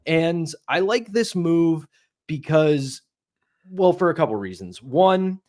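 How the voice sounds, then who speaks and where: A man's voice: a little high, expressive, slow, darkish tone, no echo, clean studio sound. A man in his thirties co-hosting a sports podcast, giving casual, opinionated commentary in a conversational way. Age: 30s. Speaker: podcast co-host.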